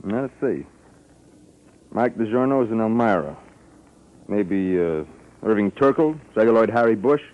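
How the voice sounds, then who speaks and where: An actor playing a seasoned insurance fire expert in an old radio drama, talking shop casually in dry, clipped phrases. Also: male voice, fairly low pitch, animated, moderate pace, long pauses. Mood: matter-of-fact. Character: matter-of-fact, seasoned male insurance arson expert. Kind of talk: 1950s radio drama acting. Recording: slightly muffled old radio recording.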